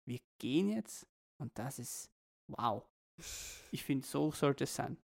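A man's voice: medium pitch, animated, takes it slowly, vocal fry, darkish tone. A man co-hosting a casual parenting podcast, talking in a reflective, rambling way with many restarts. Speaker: podcast co-host.

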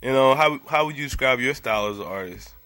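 A man's voice: mid-range voice, lively intonation, fast talker, bright, forceful tone, soft background music. A man hosting a casual interview, laid-back and agreeable, using easy slang. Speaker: interview host.

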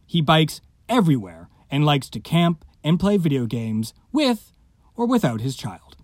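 A man's voice: fairly high pitch, sing-song, unhurried, a little mellow, no room sound. A man reading a scripted podcast introduction as host, with playful emphasis on words.

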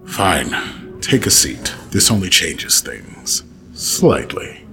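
A man's voice: low voice, big pitch swings, drawn-out words, gravelly, background noise, exaggerated voice. A man playing a cocky, unhinged rogue outlaw in a sci-fi audio drama, sardonic, menacing and theatrical. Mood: cold, demanding. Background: music.

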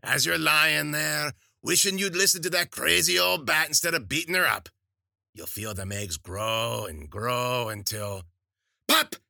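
A man's voice: fairly high pitch, lively intonation, unhurried, gravelly, sharp tone, no room sound, clean studio sound, pitched above the performer's own voice, full character voice. A man voicing a spiteful old woman witch, scolding and cursing.

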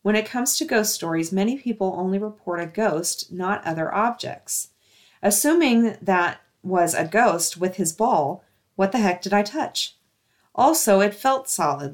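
A woman hosting a show, reading listener-submitted stories aloud in a casual, chatty way. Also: mid-range voice.

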